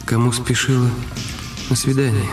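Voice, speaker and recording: Male actor as anxious scheming husband, halting inner monologue, radio play, echoey distant sound, music behind. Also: medium pitch, steady tone, close to an everyday voice. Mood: brooding. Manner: brooding, talking to himself in halting phrases. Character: anxious, calculating husband brooding over his injured wife.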